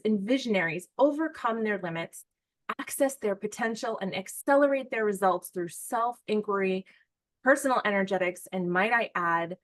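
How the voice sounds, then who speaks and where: A woman's voice: medium pitch, fairly bright tone, no room sound. A woman with an American accent hosting a casual podcast interview, introducing her guest with gushing enthusiasm. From United States. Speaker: podcast host.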